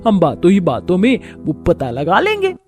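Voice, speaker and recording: A woman voicing an eager, competitive young daughter-in-law in animated story dialogue. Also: on the low side, moderate pace, soft background music. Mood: earnest.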